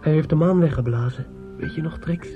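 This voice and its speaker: Young male student, played by an actor, lovesick and brooding; fairly high voice, earnest radio-drama delivery over prominent music. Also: fairly quick, narrow, tinny audio, lightly acted. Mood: anguished longing. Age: young adult. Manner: earnest, pleading, poetic. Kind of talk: Dutch radio drama acting. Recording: radio play with music behind.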